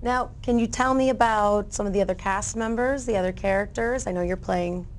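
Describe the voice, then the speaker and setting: A woman's voice: mid-range voice, brisk, a little hiss or hum. A woman conducting a casual interview, speaking in an encouraging, affirming way. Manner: encouraging, frequent affirming responses.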